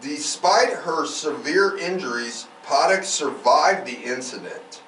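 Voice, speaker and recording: A man's voice: a little high, animated, slow pace, fairly bright tone. A man hosting a true crime podcast, reading out case notes with irreverent, sweary, casual asides. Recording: roomy, distant mic, faint background noise.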